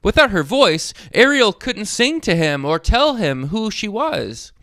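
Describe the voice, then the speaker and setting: A man reading a children's story aloud in an expressive way, as a storyteller speaking directly to young listeners. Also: a little high, clean tone, no echo, studio quality. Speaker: storyteller, host of a read-along channel. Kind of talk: animated children's read-aloud with short asides.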